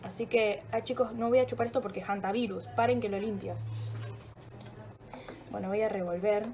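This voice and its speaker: A young woman with a Rioplatense accent rambles and teases herself in a casual home vlog. Her nose is stuffed up and her throat is sore. Her voice sits at a middle pitch over clear kitchen noise. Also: steady tone, normal speed, darkish tone. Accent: Rioplatense Spanish. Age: young adult. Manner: chatty, rambling and self-mocking, swears freely. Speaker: vlogger.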